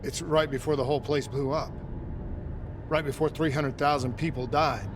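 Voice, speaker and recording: An actor playing an intense, determined soldier in a video game, speaking in a terse, earnest way. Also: male voice, fairly high pitch, steady tone, moderate pace, noisy background, close to an everyday voice. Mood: grave, hushed. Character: intense, determined male military operative. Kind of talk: cinematic video game acting. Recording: loud dramatic game score behind.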